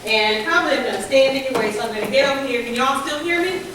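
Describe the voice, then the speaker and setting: Booming-voiced woman lecturing. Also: fast. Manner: conversational, faith-infused, says she has a booming voice. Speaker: researcher giving a public talk. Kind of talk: lecture with slides. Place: large echoey community room. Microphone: handheld or stand mic, moving off it at times. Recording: distant, echoey, hissy room recording.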